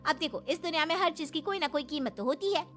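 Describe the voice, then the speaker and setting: A very high, taunting young woman acted out by a female performer in a cartoon melodrama, with music behind. She is brazen and greedy. Each phrase sounds like a calculated bargain. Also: lively intonation, quick pace, fairly bright tone. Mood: smug, taunting. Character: brazen, greedy young maid turned wife, coldly bargaining. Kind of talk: melodramatic Hindi cartoon voice acting.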